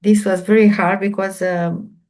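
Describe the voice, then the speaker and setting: A woman with a Romanian accent, a non-native English speaker, answering questions on a panel in halting speech with many repeated words. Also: mid-range voice, level tone, a little mellow. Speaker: remote viewer panelist. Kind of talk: casual Q&A discussion.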